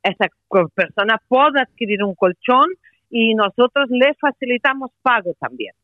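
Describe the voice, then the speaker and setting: A woman with a Portuguese accent, a company representative making a persuasive sales pitch by phone on the radio, hesitating often with "eh". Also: expressive, normal speed, no room sound. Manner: persuasive, many hesitations and "eh" fillers. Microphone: telephone. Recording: tinny phone line, dry.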